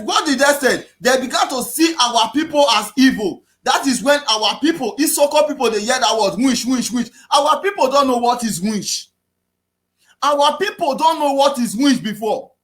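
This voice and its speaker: A man with a Nigerian accent giving an impassioned political talk, repeating himself and often raising his voice to a shout. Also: very high-pitched. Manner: preachy, repetitive, often shouting. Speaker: activist speaker.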